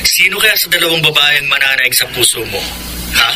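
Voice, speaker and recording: A man's voice: on the high side, expressive, a little fast, background noise, close to an everyday voice. A Filipino actor playing a playful, gossipy young friend in a radio drama, slipping English into his lines. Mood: teasing. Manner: playful, mixes English into Tagalog. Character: teasing, gossipy young male friend phoning at night.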